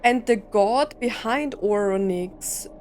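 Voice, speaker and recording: A woman's voice: mid-range voice, slow pace, darkish tone, a little hiss or hum. A woman gaming streamer giving casual reaction commentary, thinking aloud as she goes. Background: game music.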